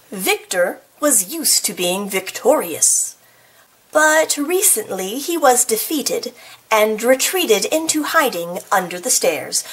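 Husky woman reading a picture book expressively. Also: fairly high pitch. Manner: expressive, playful, casual commenting. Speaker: storybook reader. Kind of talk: read-aloud of a picture book with chatty asides.

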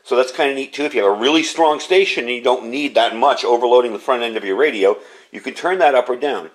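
American guy, middle-aged, mid-pitched voice, chatting through a product demo off the cuff. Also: fast talker. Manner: chatty, explanatory, conversational. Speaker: product reviewer. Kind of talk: casual unscripted product demonstration. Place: indoor room, at a tabletop.

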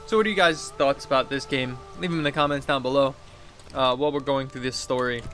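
A man's voice: medium pitch, fast talker, fairly bright tone, soft background music. A man in his thirties giving casual, rambling let's play commentary, thinking aloud as he plays. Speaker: gaming video host. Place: indoors at a computer desk.

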